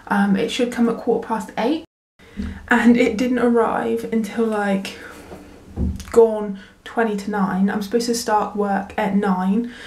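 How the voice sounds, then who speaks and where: A woman's voice: medium pitch, fairly even intonation, unhurried, a little mellow, breathy edge. Chatty young woman with a cold. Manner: chatty, many ums and likes. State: congested with a cold. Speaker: book vlogger. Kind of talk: casual vlog chat. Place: work bathroom.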